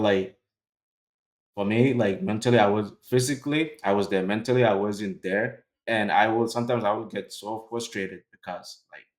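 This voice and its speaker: A young man from Sudan talks as an interview guest in a relaxed, conversational way, his words full of "like" and "bro". His voice sits fairly low. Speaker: guest, cloud computing worker. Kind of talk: casual interview answers.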